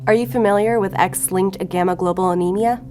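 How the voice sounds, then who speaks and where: A woman's voice: medium pitch, fairly even intonation, fairly quick, warm tone, lightly acted. An actress playing a shrewd, controlled female investigator in an audio drama, firm and needling. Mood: cool, needling. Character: cool, shrewd female investigator, bluffing and relentless. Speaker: law enforcement agent. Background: music under the voice.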